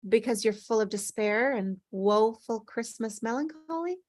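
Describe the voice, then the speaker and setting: A woman's voice: fairly high pitch, lively intonation, normal speed, a little mellow, dry recording, lightly acted. A woman playing a teasing, melancholy older female ghost in an acted script read, with wry, sighing, dramatic delivery. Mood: needling.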